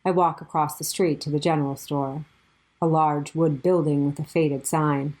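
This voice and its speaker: Woman reading a first person story for a podcast, low pitched, careful short phrases. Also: fairly even intonation, a little mellow, poor audio. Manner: measured, short deliberate phrases. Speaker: podcast narrator.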